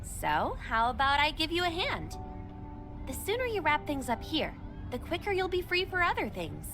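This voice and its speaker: Very high, friendly young heroine. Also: female voice, huge pitch swings, moderate pace, heavily acted. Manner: casual, friendly. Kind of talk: anime game voice acting. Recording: background music under the voice.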